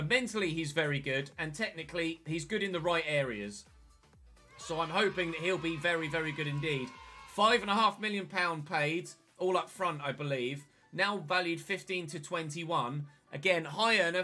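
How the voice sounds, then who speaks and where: A young man chats his way through a football management game, thinking aloud as he clicks through menus. His voice sits a little high and sounds bright and forward. He talks into a headset in a small home room, with faint music playing underneath. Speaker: gaming YouTuber. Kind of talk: casual let's-play commentary.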